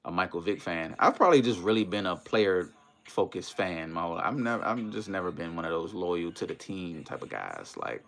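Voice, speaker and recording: A man's voice: low-pitched, sing-song, fairly quick, gravelly, fairly bright tone. An American man from the Maryland and Washington DC area hosting a casual livestream, chatting to viewers in slangy, conversational phrases. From United States, Maryland and Washington DC area. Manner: conversational, uses slang and tag phrases like "you know".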